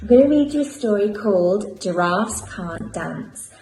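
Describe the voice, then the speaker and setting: A woman primary school teacher reading a picture book aloud to children, clear and expressive. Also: medium pitch, roomy sound, slight background noise, poor audio. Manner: clear, expressive, unhurried storytelling.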